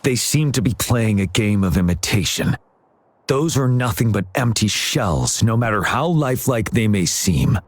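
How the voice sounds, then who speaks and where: A man playing a calm, solemn young general in a video game, speaking in a measured, grave, formal way. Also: lowish voice. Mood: grave, composed. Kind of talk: scripted video game dialogue.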